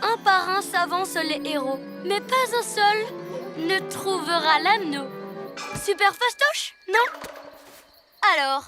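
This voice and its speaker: A woman's voice: very high-pitched, lively intonation, takes it slowly, exaggerated voice. A woman voicing a boastful, scheming young ninja villain in a children's cartoon, theatrical and sarcastic. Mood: bossy, smug. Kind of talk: animated children's cartoon acting. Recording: loud music behind the voice.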